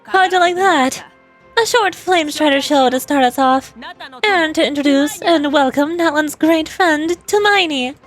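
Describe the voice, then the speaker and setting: A woman's voice: high-pitched, a little slow. A woman voicing a bold, confident female warrior leader from a video game, speaking in an assured, rallying and playfully teasing manner. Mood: rallying, proud. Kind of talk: dramatic voice-over of video game dialogue. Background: music.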